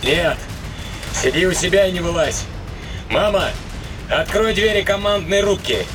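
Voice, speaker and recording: An actor playing a terse, tough spaceship captain in an audio drama, with a curt, commanding manner. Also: male voice, high voice, expressive, a little slow, over loud music. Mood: curt, tense. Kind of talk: acted sci-fi radio drama dialogue.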